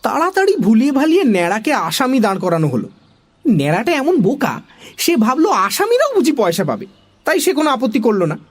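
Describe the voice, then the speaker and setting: A woman's voice: fairly high pitch, huge pitch swings, brisk. A woman narrating a scripted comic story for children as the storyteller.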